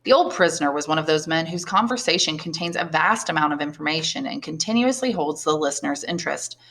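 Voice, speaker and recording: A woman with a fairly low voice narrates a classic novel. Her reading is measured and expressive, with each clause phrased carefully, as a teacher reading aloud would.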